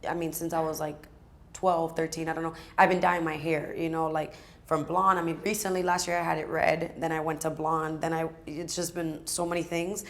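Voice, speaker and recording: A raspy-voiced woman in her twenties, chatting casually as a guest and filling her talk with "like". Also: low voice, level tone, moderate pace. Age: 20s. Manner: chatty, many fillers like "like". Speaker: guest, aspiring singer and rapper. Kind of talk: casual sit-down chat.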